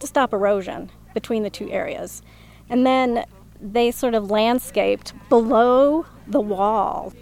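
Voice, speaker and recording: A woman's voice: a little high, a little mellow. A middle-aged or older woman from Minnesota, an archaeological surveyor explaining things informally in an interview on site.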